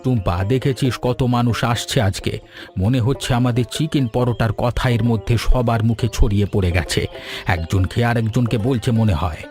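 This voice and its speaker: A man voicing a kindly, encouraging old village grandfather in an animated story, with a reassuring manner. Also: medium pitch, brisk, faint music behind, lightly acted. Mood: proud. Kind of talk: Bengali animated story dialogue.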